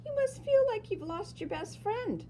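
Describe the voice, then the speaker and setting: Woman acting a high, cooing sympathetic mother. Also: big pitch swings, a little slow, warm tone, a little hiss or hum, exaggerated voice. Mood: pitying. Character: sympathetic, fussing mother of a small boy.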